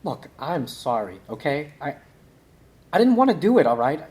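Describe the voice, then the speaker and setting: An actor as a pleading, wildly swinging desperate suspect. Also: male voice, a little high, very animated, moderate pace, darkish tone, slight background noise. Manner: stammering, pleading. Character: desperate, easily shaken suspect pleading for his life. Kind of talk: table read acting of a film script.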